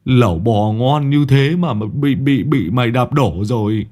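A man's voice: mid-range voice, darkish tone, natural voice. A man voicing an old workman who shrieks and curses about his burnt hair and ruined dinner. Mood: grumbling. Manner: shrieking, fast cursing. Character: scorched, cursing old workman.

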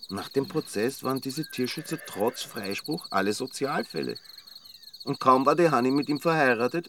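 A man's voice: medium pitch, recorded from a distance, noisy background, close to an everyday voice. An actor playing a sardonic, gossipy village man in a radio drama, dry and knowing, with an Austrian accent. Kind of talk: conversational radio drama acting.

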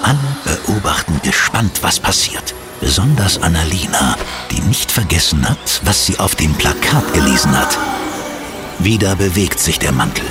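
A man narrating a scripted German children's audio drama in an expressive storytelling manner. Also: low voice, moderate pace, over loud music.

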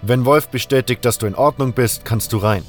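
A man's voice: mid-range voice, a little fast, faint music behind, close to an everyday voice. Man playing a stern, suspicious gate guard. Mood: stern, warning. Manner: challenging, blunt.

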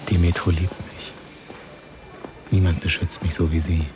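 An actor playing a tense, cynical gangster in a radio drama monologue, muttering in short clipped phrases that often sink toward a whisper. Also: male voice, low voice, flat delivery, moderate pace, background noise, close to an everyday voice. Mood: cynical, watchful. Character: tense, cynical male Moscow gangster watching a handover. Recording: studio radio play with prominent music. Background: music.

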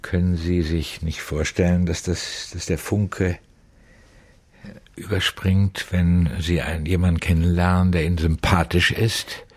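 A man's voice: low voice, little pitch movement, a little slow, darkish tone, breathy edge. An actor playing a reserved older man in a naturalistic, improvised radio drama. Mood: reflective, hesitant. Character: reserved older man left by his wife.

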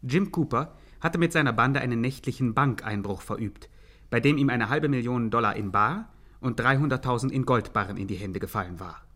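A man plays a narrator in a vintage radio crime satire. He reports in a formal, mock-factual way, with a medium-pitched voice. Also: a little fast, a bit bright, natural voice. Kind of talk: 1950s scripted radio narration.

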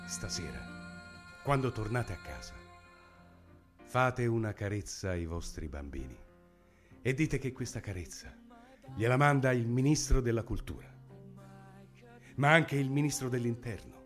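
An actor playing a pompous, menacing authoritarian government minister in a satirical radio play, declaiming grandly with smug brutality. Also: male voice, mid-range voice, big pitch swings, measured pace, long pauses, faint music behind. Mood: grandiose, fervent.

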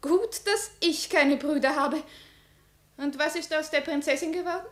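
An actress playing a proud, imperious young princess in 1950s radio drama style, formal, haughty and challenging in debate. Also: female voice, sits high, normal speed, a bit bright. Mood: proud, sharp. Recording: roomy 1950s radio studio recording.

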